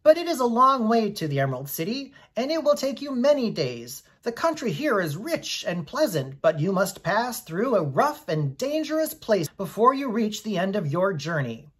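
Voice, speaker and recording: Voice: mid-range voice, sing-song, moderate pace, hardly any pauses, breathy edge, voice pushed up. A performer voicing a rich, friendly Munchkin man, grave and courteous, in a storybook reading.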